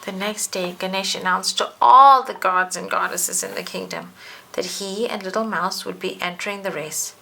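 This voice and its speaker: A woman narrating a children's picture book aloud, expressively and with deliberate emphasis. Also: mid-range voice, steady tone, creaky, sharp tone, low-quality recording. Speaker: storybook narrator. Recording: faint background noise.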